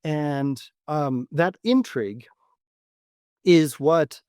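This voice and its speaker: A man speaking as a dating coach and podcast host, giving instructional advice in deliberate, measured phrasing with repeated words. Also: fairly high pitch, darkish tone, dry recording, clean studio sound. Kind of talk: instructional podcast monologue to camera.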